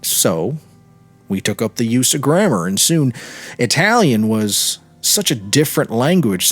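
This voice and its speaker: A man narrating a literary short story in a measured, expressive storytelling manner. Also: medium pitch. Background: music.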